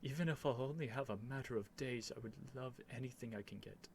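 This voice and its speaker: A lovestruck young prince, acted by a man in an ASMR roleplay, speaking at a middle pitch. He is earnest and nervous, stammering with feeling as he addresses his beloved dragon. Some background noise sits behind the voice. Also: darkish tone, natural voice. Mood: stunned, grateful. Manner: halting, stammering, breathy sighs and whispers, emotional.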